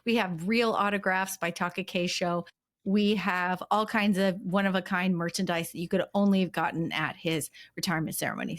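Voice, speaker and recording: A bubbly woman co-host on a chat podcast, her everyday middle-pitched voice breaking into frequent laughs. She rambles a little, stringing thoughts together with plenty of fillers.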